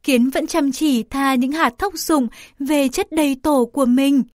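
High-pitched woman reading a children's story. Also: a little slow, a little mellow, no room sound. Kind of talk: scripted children's story narration.

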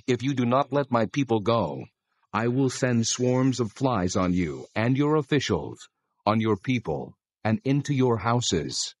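A man voicing a stern, solemn God who proclaims commands deliberately in a dramatized scripture reading. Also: medium pitch, lively intonation, no room sound.

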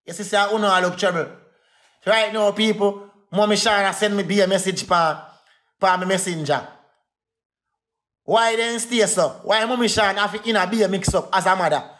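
Jamaican guy going off on a phone livestream, high voice, repeats himself nonstop. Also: fairly bright tone. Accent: Jamaican Patois. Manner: repetitive, insulting, fast heated rant. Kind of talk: angry livestream rant.

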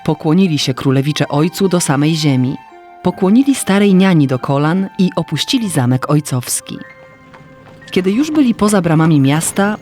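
A man narrating a scripted fairy tale as an expressive, literary storyteller. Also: a little high. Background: faint music.

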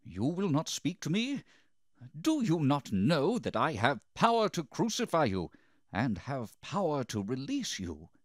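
Roman governor for a scripture drama, played by a male reader: commanding but uneasy, declamatory, wide swings of pitch, close dry sound. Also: very animated, normal speed, dry recording. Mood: uneasy, threatening. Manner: declamatory, questioning.

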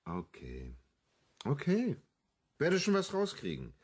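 A man plays a former police assistant in a crime radio play. He is eager and probing but deferential, with a husky voice that moves up and down a lot. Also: fairly high pitch, wildly swooping pitch, slow pace, a little mellow, full character voice. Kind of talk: German radio crime drama acting.

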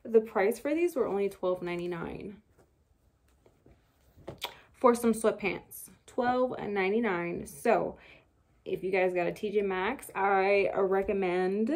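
A young woman in her twenties filming a casual shopping haul in her cluttered room at home, chatting loosely with lots of fillers. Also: medium pitch. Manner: chatty and rambling, many fillers. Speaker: vlogger. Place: bedroom beauty room at home, indoors. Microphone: camera on desk.

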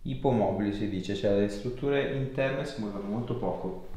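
A man's voice: on the low side, moderate pace, warm tone. A man in his thirties, a chiropractor, talking a patient through a hands-on session in an informal, reassuring way. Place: small treatment room indoors. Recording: very echoey small room, faint noise.

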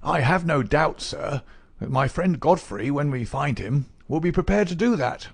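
A man's voice: a little high, lively intonation, moderate pace, creaky, breathy edge, voice pushed up, full character voice. A man reading aloud, voicing a hearty, earnest young male athlete who answers bluntly and eagerly.

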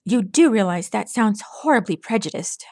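A proud, grumbling young male pony with a bookish way of speaking, voiced by a woman in a storybook reading. His lines stay close to the reader's own middle pitch, and the sound is dry and close. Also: expressive, moderate pace, darkish tone, dry recording. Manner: grumbling, proud, well-spoken.

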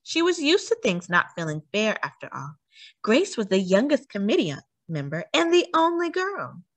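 Female children's storyteller, animated and emphatic read-aloud, very varied intonation, dry sound. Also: medium pitch, moderate pace, dry recording.

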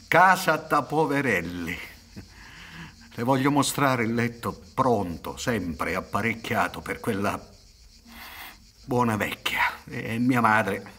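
Aggrieved peasant son, middle-aged, forceful, husky, impassioned radio play delivery. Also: male voice, medium pitch. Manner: deferential, then impassioned and indignant. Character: aggrieved, embittered peasant son, proud and hardworking. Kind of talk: Italian radio drama acting. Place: theatre stage set up for radio recording. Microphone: stand microphone with headphones.

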